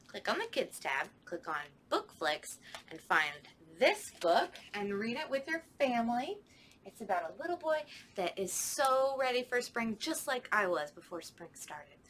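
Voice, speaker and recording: Animated young woman leading kids' storytime. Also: mid-range voice, a little hiss or hum. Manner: animated, sing-song, playful exclamations. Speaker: children's librarian. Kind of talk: children's storytime with rhymes. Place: library children's room, indoors.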